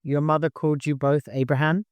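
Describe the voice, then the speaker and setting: A man's voice: fairly high pitch, level tone, a little fast, clean tone, no room sound, pitched above the performer's own voice, lightly acted. A man voicing a shrewd, authoritative male expedition leader with a wry streak in an audiobook. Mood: dry, wry.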